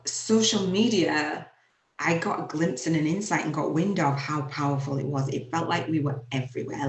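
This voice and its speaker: A woman with a Leeds Yorkshire accent, hosting her own show and earnestly telling her personal story, laughing now and then through emotional moments. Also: low-pitched. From England, UK. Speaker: show host. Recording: echoey room.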